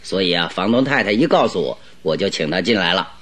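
An actor playing a calm, good-humoured detective in a Chinese radio drama, speaking politely and deliberately with a teasing streak. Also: male voice, low-pitched. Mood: amused, explaining.

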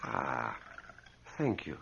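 An actor playing an earnest, disapproving and anxious priest in an old-time radio horror drama. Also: male voice, very deep voice, huge pitch swings, drawn-out words, gravelly, a bit bright, slight background noise, heavily acted. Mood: uneasy. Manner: earnest, solemn. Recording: dull lo-fi old radio recording.

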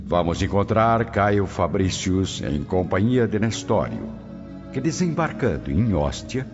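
Deep, expressive male radio drama narrator. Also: a little slow, over music. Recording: dull lo-fi radio recording, background noise.

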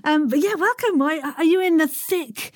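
A woman's voice: very high-pitched, normal speed, no echo, clean studio sound. A woman hosting a chatty podcast interview in an exuberant, playful and theatrical manner. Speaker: podcast host.

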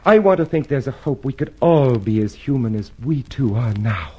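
A haunted man yearning for human hope in a radio drama. The voice is dark and husky at a middling pitch. He confides with hesitant, searching intensity. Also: expressive, moderate pace. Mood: earnest, pleading.

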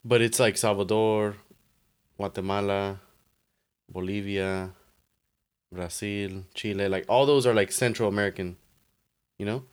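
A young man with a fairly low voice chatting as a podcast co-host. He banters loosely, laughs easily and states his opinions plainly. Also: steady tone, measured pace, long pauses, clean tone. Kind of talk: casual chat.

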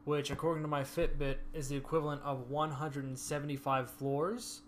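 A man chatting casually about a hike, relaxed and quick to laugh. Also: on the high side, a little hiss or hum. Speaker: hiker recounting a trip. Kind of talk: casual chat.

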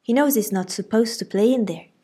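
A stern older aunt who scolds in a raised, clipped voice, put on by a woman reading aloud. She is strict and quick to threaten. There is a slight breathiness to the tone. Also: fairly high pitch, husky. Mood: scolding. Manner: sharp, scolding and loud.

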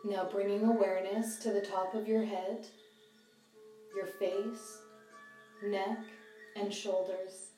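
A woman guiding a mindfulness meditation, giving calm, measured instructions in short phrases. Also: mid-range voice. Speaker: meditation guide. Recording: slightly distant roomy sound, music behind.

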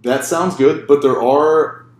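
A man's voice: on the high side, slow, reverberant. A man co-hosting a casual sports podcast, joking and laughing often as he talks. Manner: laughs often, jokey asides. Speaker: podcast co-host.

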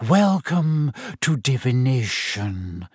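A man voicing a dreamy, eerie woman seer, speaking slowly and softly in a misty voice. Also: medium pitch, sing-song, husky, dry recording, studio quality, exaggerated voice. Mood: mystical. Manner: slow, soft, drawn-out delivery.

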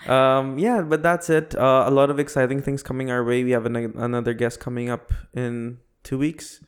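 A man co-hosting a podcast in casual, joking chat, often halting as he searches for his words. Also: mid-range voice, level tone, clear, resonant tone. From half Filipino. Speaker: podcast co-host, comedian.

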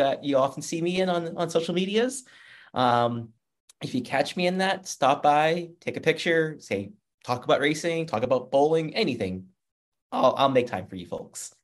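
A man hosting a motorsports podcast in a casual, upbeat, chatty way, with a loud voice. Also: a little high. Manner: upbeat, chatty, reads sponsor plugs. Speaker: podcast host and motorsports journalist.

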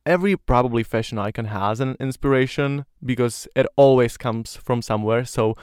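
A man's voice: mid-range voice, a little fast, darkish tone, smooth, no room sound, studio quality. A young man from Slovakia, a native Slovak speaker, hosting a casual podcast interview in an earnest manner full of "like" fillers. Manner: earnest, many "like" fillers, admiring. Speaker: podcast host.

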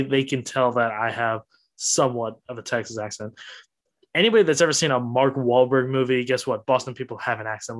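A man's voice: medium pitch, fairly bright tone. Man with an Upper Midwest accent, blunt ranting podcast co-host. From Wisconsin, US Midwest. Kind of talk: casual sports podcast chat.

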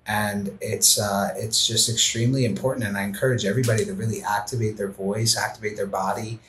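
Earnest lower-voiced man in echoey room. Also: fairly even intonation, fairly quick, a little hiss or hum. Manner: earnest, many fillers like "you know" and "like"; gentle, heartfelt. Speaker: podcast guest. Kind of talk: reflective interview conversation.

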